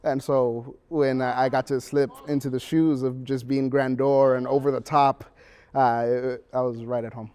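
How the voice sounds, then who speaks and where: Halting teenage boy, medium pitch. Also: level tone, darkish tone, smooth. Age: teens. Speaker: student actor. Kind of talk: casual interview.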